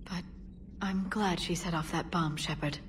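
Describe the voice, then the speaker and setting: A woman's voice: fairly low pitch, fairly even intonation, a little slow, background noise, lightly acted. A woman playing a young, grieving but resolute alien in a video game. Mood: sorrowful, resolute.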